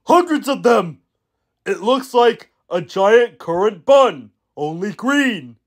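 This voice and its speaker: A man voicing an eager, boastful, childlike steamroller in a children's cartoon, excitable and playful. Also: high voice, slow pace, heavily acted. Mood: excited, wistful. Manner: excitable, playful, makes engine noises. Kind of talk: animated children's show character acting.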